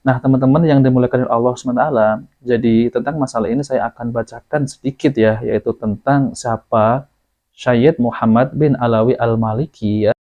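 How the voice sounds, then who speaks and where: A man with an Indonesian accent hosts an informal religious commentary in a soft, dark voice. He circles back over his points and tags his phrases with small fillers. The recording is muffled and low in fidelity. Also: steady tone, fairly quick. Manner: repetitive, often tags phrases with "ya". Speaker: commentary host. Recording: dull lo-fi audio.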